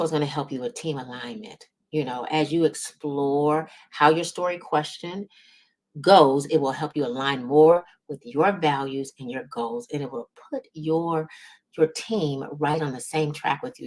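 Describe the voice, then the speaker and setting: A woman with a low-pitched voice delivers a motivational coaching talk. She is emphatic and encouraging, and she often pauses to check that the listener is following along. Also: fairly even intonation. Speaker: business coach and series host.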